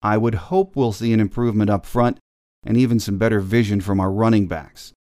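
A man's voice: lowish voice, fairly even intonation, brisk, mellow, no room sound, studio quality. An American man hosting a sports podcast, delivering a scripted monologue. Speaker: podcast host.

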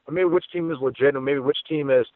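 A man's voice: fairly high pitch, fast, no room sound. A man giving conversational sports analysis as a guest, talkative and repeating words as his thoughts form. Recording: tinny phone-line audio.

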